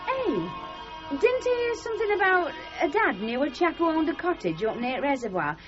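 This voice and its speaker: Middle-aged Northern English mother: fussing, protective, very expressive, vintage radio drama, dull sound. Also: high voice, moderate pace, music in the background. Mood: fond, fussing. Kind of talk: 1970s British radio drama acting. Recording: dull old radio recording.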